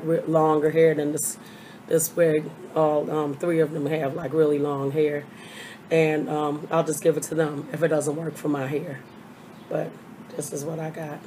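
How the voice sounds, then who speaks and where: An older woman, hoarse from a cold, chatting casually through a haul video at her webcam with lots of fillers. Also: deep voice, darkish tone, slight background noise. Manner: chatty, rambling with many fillers. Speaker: vlogger. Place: small bedroom. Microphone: webcam at desk.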